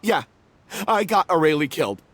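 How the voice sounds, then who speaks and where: An actor playing a remorseful young man in a stage troupe, speaking haltingly and earnestly in a dramatic video game style. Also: a little high, sing-song, a bit bright. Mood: regretful, distressed. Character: guilt-ridden young man, troupe member confessing a cowardly mistake.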